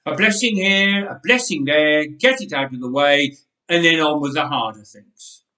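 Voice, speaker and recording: A man's voice: a little high, moderate pace, pushed and bright. Man doing a whiny cheeky young boy. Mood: grumbling. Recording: roomy church echo.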